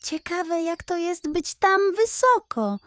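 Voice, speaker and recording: A woman's voice: very high voice, big pitch swings, slow pace, no room sound, voice pushed up, exaggerated voice. A woman voicing a small, dreamy young female seal in a children's bedtime story, earnest and wistful.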